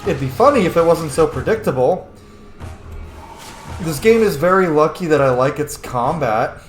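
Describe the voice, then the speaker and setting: A man's voice: on the high side, expressive, normal speed, echoey room. A man giving casual, sweary let's play commentary as a gaming host, informal and full of wisecracks. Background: game music.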